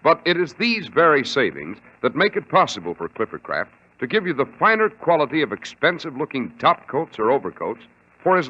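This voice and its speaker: A man with an American accent reading as a polished, emphatic 1940s radio announcer and sponsor pitchman. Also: sharp tone, slightly rough. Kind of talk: 1940s radio advertising read. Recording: muffled vintage radio recording.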